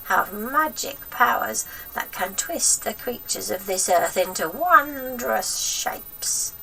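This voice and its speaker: A woman's voice: fairly high pitch, animated, slow pace, bright, forceful tone, far from the mic, a little hiss or hum, pitched above the performer's own voice, full character voice, poor audio. A woman voicing a sinister, gloating old grandmother in a storybook, drawing out nasty words with menacing relish. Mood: eerie, threatening. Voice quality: throaty, breathy whisper at times.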